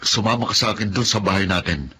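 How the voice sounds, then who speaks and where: Young Filipino newlywed husband, lowish pitch, earnest, measured, radio drama, noise behind. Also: male voice, bright, forceful tone. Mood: frustrated, firm.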